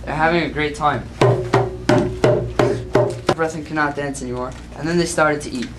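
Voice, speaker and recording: A woman's voice: low voice, fairly even intonation, normal speed, loud music behind the voice. A woman narrating a story in a plain reading style. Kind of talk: student narration read aloud. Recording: noisy amateur recording.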